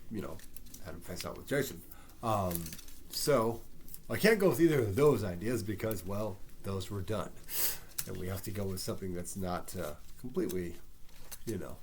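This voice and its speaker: Casting: a man, low-voiced, stuffy from a cold, rambling self-deprecating fan, faint hiss behind. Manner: rambling, self-deprecating, many ums and restarts. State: congested from a head cold. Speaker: fan pitching movie sequel ideas. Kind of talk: casual solo monologue.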